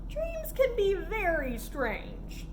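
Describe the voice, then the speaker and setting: A woman reading a children's picture book aloud as a storyteller, in an expressive, questioning way meant for young listeners. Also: extremely high pitch, drawn-out words, noisy background.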